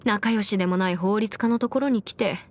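A woman's voice: mid-range voice, fairly quick, natural voice. Woman voicing a self-assured young anime lawyer. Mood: friendly, businesslike. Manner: crisp, self-assured, formal mannish phrasing. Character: confident, brisk young female half-immortal lawyer. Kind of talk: scripted anime game character voice lines. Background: background music.

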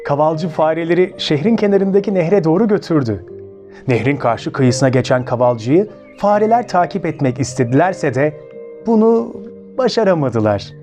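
A man with a Turkish accent hosts story time for children in a lively, swooping delivery. His voice is soft-edged and a little higher than average. He talks straight to his young listeners as friends, asking questions, over a light music bed. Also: normal speed. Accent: Turkey Turkish. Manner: expressive, addresses listeners as friends. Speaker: children's storytelling show host.

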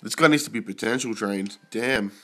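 A young man who is sick and keeps clearing his throat, casually commenting on his mobile game pulls and getting excited and swearing at good results. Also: on the low side, normal speed.

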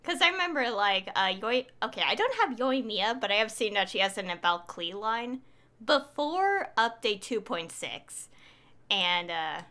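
A woman's voice: mid-range voice, sing-song, measured pace, pushed and bright. A woman in her twenties giving casual, rambling, giggly commentary as a game streamer.

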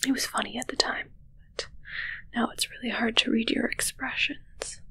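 A young woman playing a mischievous, fussy witch in a breathy, teasing whispered ASMR roleplay. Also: a little high, fairly even intonation, moderate pace, sharp tone, full character voice, poor audio. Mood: deadpan, fussy. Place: small indoor set with curtains. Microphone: close ASMR microphone.

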